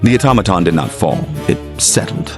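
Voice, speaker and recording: A man narrating a scripted horror story in a measured, suspenseful, dramatic manner. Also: sits low, lively intonation, over music.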